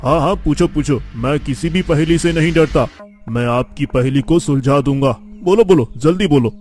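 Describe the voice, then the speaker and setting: A man's voice: a little high, normal speed, a little mellow, soft background music, natural voice. A young man playing a determined, boastful eldest son from a village in a cartoon story, with an earnest, declamatory delivery. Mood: bold, defiant. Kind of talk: dramatic Hindi cartoon story dialogue.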